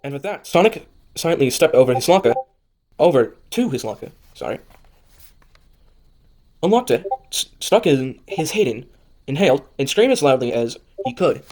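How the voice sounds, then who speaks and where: A man narrates an amateur audio drama in an average-pitched voice. He reads story prose and often stumbles, repeating and correcting phrases as he goes. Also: sing-song, moderate pace, pauses often, darkish tone. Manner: stumbles over lines and corrects himself. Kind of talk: amateur reading of fan fiction narration.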